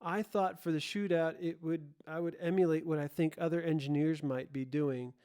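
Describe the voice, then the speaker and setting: Male gear-demo presenter: slightly high, clean resonant voice, casual explanatory delivery, self-correcting as he goes. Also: steady tone, normal speed, mellow, clear, resonant tone. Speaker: presenter of a music gear comparison.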